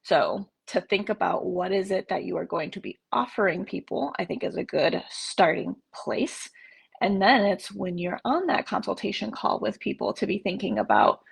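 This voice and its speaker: Stuffy-nosed woman coaching, husky and gravelly. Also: mid-range voice, animated, moderate pace. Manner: casual, chatty, many fillers and restarts. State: stuffed up from allergies. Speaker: coach for therapists. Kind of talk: live online training talk. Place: home, in Oregon.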